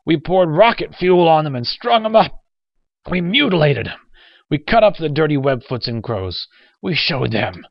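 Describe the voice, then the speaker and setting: Furious, high-strained old veteran. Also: male voice, expressive, moderate pace, gravelly, no room sound, lo-fi sound. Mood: gasping contempt. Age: elderly. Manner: wheezing, snapping, complaining. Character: frail old war veteran, bitter, hateful, raging. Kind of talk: audiobook character acting.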